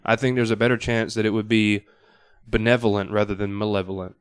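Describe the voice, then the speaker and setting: Male guest, clean resonant voice, close dry sound, halting casual reasoning. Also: fairly low pitch, level tone, clean tone, no room sound. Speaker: podcast guest. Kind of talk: casual discussion. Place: podcast studio.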